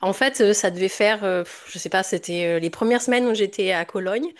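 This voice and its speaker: A woman's voice: medium pitch, brisk. A woman from France with a French accent, speaking as a podcast guest in a casual, reflective and somewhat hesitant way. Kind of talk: casual interview conversation.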